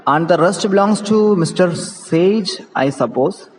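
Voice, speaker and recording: A man's voice: high-pitched, lively intonation, measured pace, a little mellow, pitched above the performer's own voice. A man with an Indian English accent voicing a disbelieving, indignant aunt in a play read-aloud, questioning and exclaiming. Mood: suspicious, probing. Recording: echoey reverberant room with background noise.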